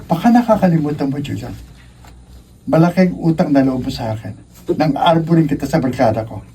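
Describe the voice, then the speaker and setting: Cocky, mellow young college bully. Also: male voice, a little high, expressive, fairly quick, slight background noise, rough recording. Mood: menacing, scheming. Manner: cocky, domineering, Taglish slang. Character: rebellious, bullying young male college student. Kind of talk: radio drama acting.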